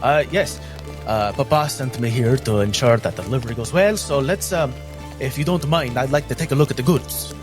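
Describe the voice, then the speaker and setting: A man's voice: medium pitch, fairly quick, over music, lightly acted. A man voicing a fussy, nervous young nobleman in a tabletop game, speaking in a hesitant, effusive way. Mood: pleased, eager.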